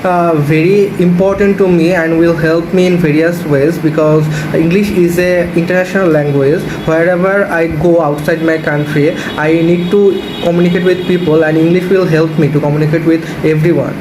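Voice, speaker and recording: Young bangladeshi guy, bangla first language, lots of uh's, kinda high voice, hum behind him. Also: fairly even intonation, normal speed, hardly any pauses. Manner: hesitant, many uh fillers. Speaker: candidate in mock speaking test. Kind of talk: mock exam answers. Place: small indoor room with curtains. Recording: echoey room with background noise.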